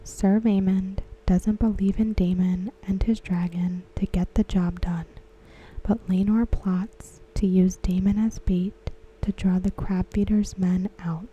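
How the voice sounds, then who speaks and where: A woman whispering softly and slowly as she recaps and discusses a TV episode for an ASMR video. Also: medium pitch, level tone, lo-fi sound, a little hiss or hum. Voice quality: breathy. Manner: slow, soft whispering. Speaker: ASMR creator. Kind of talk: soft-spoken ASMR recap.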